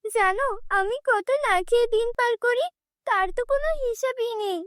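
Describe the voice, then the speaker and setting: A lonely, kind-hearted village girl in a cartoon story, voiced by a woman. Her voice is extremely high, earnest and plaintive. It is recorded dry and close. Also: moderate pace, dry recording. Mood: sad, plaintive.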